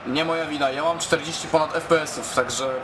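A man commentating casually on his own video game play in an excitable, informal way, with speech. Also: fairly high pitch, a little fast, crowd noise, rough recording. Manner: excitable, exclaiming, informal with swearing. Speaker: gaming YouTuber.

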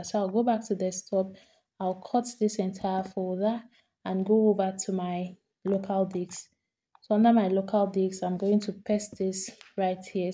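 A woman talking through a screen-recorded software tutorial, step by step, repeating each action as she does it. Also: mid-range voice. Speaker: tutorial host.

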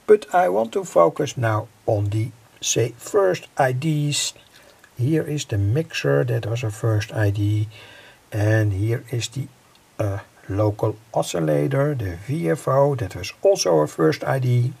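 A middle-aged man with a gravelly, husky voice giving an informal, halting technical explanation as a hobbyist presenter. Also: medium pitch, expressive, a little mellow. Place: indoor home electronics workbench.

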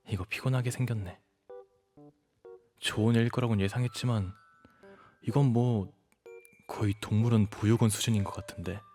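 An actor playing a small, cunning stray puppy shapeshifter in an audio drama, cutely pleading and cockily muttering to himself. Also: male voice, medium pitch, darkish tone, natural voice.

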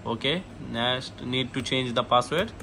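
Halting non-native English-speaking man, noisy room. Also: mid-range voice. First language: not English. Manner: halting, clipped phrases with repeated okays. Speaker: tutorial presenter, technician. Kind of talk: hands-on product setup walkthrough. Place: indoor shop or office. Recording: noisy room, clear background noise.

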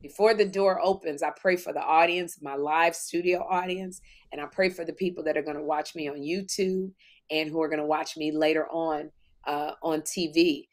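A woman in her fifties, co-hosting a podcast, with a deep voice, telling stories animatedly and acting out what people say. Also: moderate pace. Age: 50s. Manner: animated storytelling, acts out quotes. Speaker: podcast co-host. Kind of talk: casual faith-filled chat.

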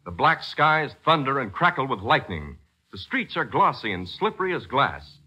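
A man's voice: mid-range voice, very animated, normal speed, sharp tone, slightly rough, poor audio. An American man announcing in scripted 1940s radio style, with a dramatic, emphatic and polished delivery. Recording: 1940s radio broadcast with music.

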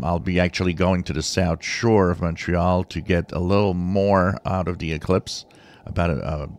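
A man hosting a hobby channel, giving informal explanatory commentary with halting, drawn-out phrasing. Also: low voice. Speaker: radio hobby channel host. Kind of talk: informal explanatory commentary over a screen share.

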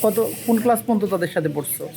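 A man's voice: high voice, lively intonation, rapid-fire, background noise. A man with a Bangladeshi Bengali accent hosting an on-location interview, asking informal, probing questions. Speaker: interviewer and channel host. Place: on location in a village. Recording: crowd chatter behind the voice.

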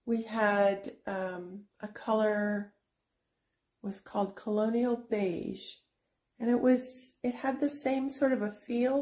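A middle-aged woman talks slowly and chattily to her followers. She fills her sentences with little pauses and restarts. Her voice sits at an ordinary mid pitch, and she is recorded on a phone inside a small shop. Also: mellow. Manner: chatty, unhurried, with frequent fillers and restarts. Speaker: antique shop owner and channel host. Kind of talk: casual vlog walkthrough. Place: indoor antique shop booth.

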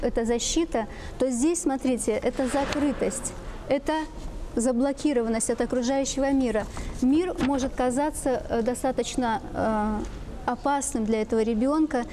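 A middle-aged woman explaining things as an expert guest on a talk show, in a halting way that repeats phrases. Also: high-pitched, dark tone, slight background noise. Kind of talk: explaining children's drawings to parents. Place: TV studio with seated guests.

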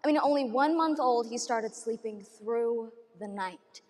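A young woman performing a dramatic monologue as a grieving mother who remembers her baby son in a tender, halting, conversational way. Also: on the high side, a little mellow, close to an everyday voice. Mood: fond, wistful. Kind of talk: competitive dramatic interpretation monologue. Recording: echoey hall, recorded at a distance.

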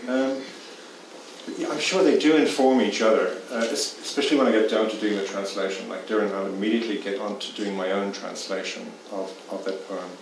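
A very low-voiced Irish man in his fifties answers a panel question haltingly, full of ums and repeated words. His voice booms around a large hall from a distant mic, with clear room noise underneath. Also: steady tone. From Ireland. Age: 50s. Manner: hesitant, many fillers and repeats. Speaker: poet. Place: stage in a dim theatre hall. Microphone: far camera. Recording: echoey hall from far off.

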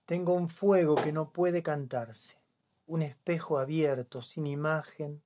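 A man in his fifties with a Buenos Aires accent reads poetry solemnly and deliberately, leaving long pauses. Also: fairly high pitch, noisy background. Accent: Buenos Aires Spanish. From Buenos Aires province, Argentina. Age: 50s. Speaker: poet.

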